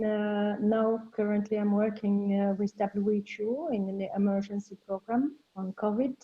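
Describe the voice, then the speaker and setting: Dark-voiced woman on a dull lo-fi line. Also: mid-range voice. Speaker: nurse midwife, public health officer. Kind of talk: self-introduction on a video call. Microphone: video call. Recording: dull lo-fi call audio.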